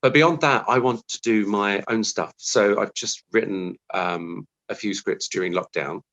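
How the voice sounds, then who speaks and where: A man's voice: lowish voice, measured pace, a bit bright, rough recording. A man giving a casual interview as a guest, thoughtful and hesitant, often restarting his sentences. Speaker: interview guest, television scriptwriter.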